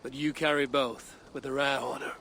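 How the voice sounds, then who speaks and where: An actor playing a stern, distrustful Viking warrior in a video game, speaking in a blunt, solemn, terse way. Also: male voice, medium pitch, little pitch movement, normal speed, gravelly, pushed and bright, slight background noise. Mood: grim. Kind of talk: dramatic video game acting.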